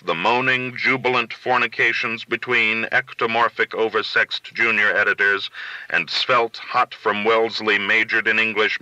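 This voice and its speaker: Male audiobook narrator, slightly low, very bright and forceful, deliberate theatrical phrasing. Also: dry recording, poor audio. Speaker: audiobook reader.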